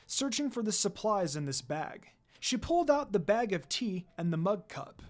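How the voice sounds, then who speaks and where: Lively story narrator with varied pitch. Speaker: narrator reading fan fiction. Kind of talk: expressive amateur story narration.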